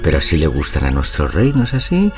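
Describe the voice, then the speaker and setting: A man's voice: rumbling low, huge pitch swings, fast, heavily acted. An actor playing an irreverent, teasing medieval nobleman and archer in an audio drama. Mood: mocking. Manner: teasing, insolent. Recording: music behind the voice.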